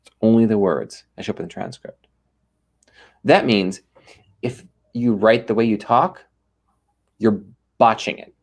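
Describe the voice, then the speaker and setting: A man's voice: lowish voice, measured pace, long pauses. A man speaking as a guest in a podcast interview, talking with energy and emphasis and acting out little quoted exchanges. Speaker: ghostwriter guest.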